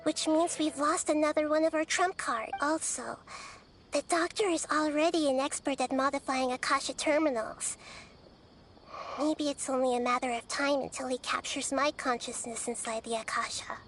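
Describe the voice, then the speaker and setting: A woman playing a small childlike goddess in a video game, gentle, composed and articulate, explaining things in measured phrases. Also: high voice, steady tone, a little hiss or hum, poor audio. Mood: cautious. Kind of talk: video game character acting.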